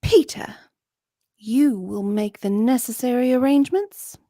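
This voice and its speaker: A woman's voice: a little high, animated, a little slow, dark tone, dry recording, clean studio sound. A woman voicing Wendy, a prim, anxious storybook girl who speaks in a polite, proper, pleading way. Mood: sharp.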